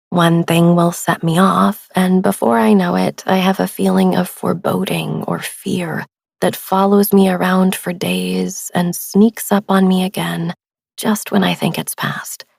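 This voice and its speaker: A woman narrates guided relaxation softly and without hurry, close to the microphone in a very dry space. Her voice is breathy and on the low side, with noticeable creak. The delivery is gentle and soothing. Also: no room sound. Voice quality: soft, breathy. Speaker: host of a sleep meditation podcast. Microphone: close studio microphone, dry.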